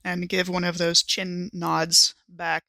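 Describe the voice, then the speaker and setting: Bright-voiced woman improvising character details. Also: on the low side, monotone, takes it slowly, no room sound, studio quality. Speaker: player in an actual-play game.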